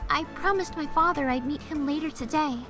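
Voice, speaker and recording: Woman as a high-voiced, polite reserved schoolgirl. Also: fairly quick, over music, close to an everyday voice. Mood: apologetic, polite. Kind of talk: visual novel voice acting.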